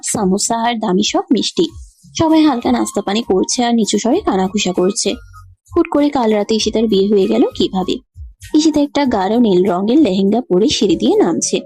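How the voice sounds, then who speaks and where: A woman's voice: a little high, mellow, slightly rough. A woman giving scripted narration of a romantic story.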